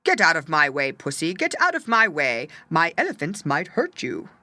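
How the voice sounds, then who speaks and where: A woman's voice: low voice, huge pitch swings, moderate pace, pushed and bright, no echo, full character voice. A woman voicing a proud, kindly king in a storybook, commanding yet benevolent. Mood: kindly warning.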